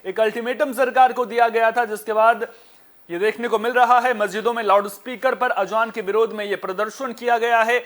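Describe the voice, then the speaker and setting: A man's voice: very high voice, fairly even intonation, a little fast, fairly bright tone. A man anchoring a news bulletin, speaking in an emphatic, repetitive way with rhetorical flourishes. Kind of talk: live headline news bulletin.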